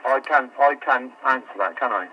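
A man's voice: medium pitch, moderate pace, dull audio, slight background noise. A man working store customer service, answering a call patiently but growing exasperated, stumbling and repeating himself. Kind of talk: phone call.

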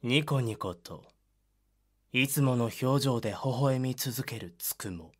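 A male narrator reads a scripted drama in a medium-pitched voice. His delivery is dramatic storytelling. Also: slow.

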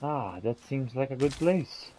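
A man's voice: mid-range voice, lively intonation, a little slow, dark tone, a little hiss or hum, low-quality recording. A man giving theatrical, exclamatory roleplay commentary as he plays a game, talking to the characters in it. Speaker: gamer playing in character. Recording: game sounds behind the voice.

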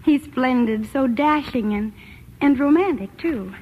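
A woman's voice: high-pitched, measured pace, lo-fi sound. A young woman speaking as an actress guest in a scripted, gushing promotional radio interview. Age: young adult. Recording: thin, noisy old radio broadcast.

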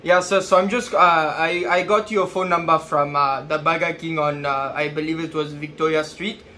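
A man in his twenties making a prank phone call with feigned seriousness, halting and addressing the listener as sir. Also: on the high side, steady tone, sharp tone, a little hiss or hum. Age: 20s. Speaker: prank caller. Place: small plain room indoors.

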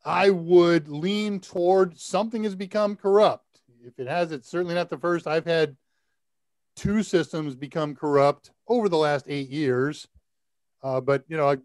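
An older man in his sixties hosting a live tech Q&A, talking casually and deliberately as he thinks aloud. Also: on the high side, a little mellow, no room sound. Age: older, 60s. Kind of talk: casual technical advice. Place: indoor room, video call.

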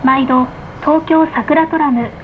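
High-pitched woman's tram announcement. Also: steady tone, slow pace, narrow, tinny audio, music in the background. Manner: polite, carefully enunciated. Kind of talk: scripted recorded onboard announcement. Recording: noisy field recording of tram speaker. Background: tram running noise.

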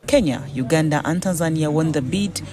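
A news reporter delivering a formal, even voice-over for a TV news report. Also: male voice, fairly high pitch, a little fast.

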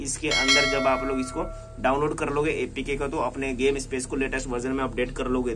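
A man's voice: medium pitch, a bit bright, a little hiss or hum. A man with an Indian accent narrating a casual hands-on tech tutorial, explaining repetitively and mixing in English words. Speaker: tech vlogger demonstrating a phone feature.

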